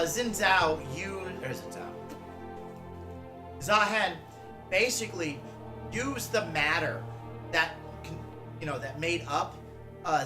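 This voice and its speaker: A man giving casual reaction commentary on game lore, informal and halting as he searches for names. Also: fairly high pitch. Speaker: reactor, drag performer and cosplayer.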